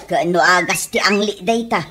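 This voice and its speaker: A woman's voice: on the low side, normal speed, background noise, lightly acted. A woman playing a nagging, poor Ilocano housewife in a comedy radio drama, with an Ilocano accent and scolding, exclamatory delivery.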